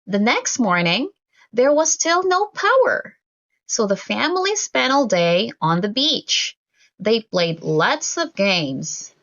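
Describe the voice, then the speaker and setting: A woman reading a children's story aloud from a script, in an expressive, deliberate way for young listeners. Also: on the high side, highs cut off. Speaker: storybook reader.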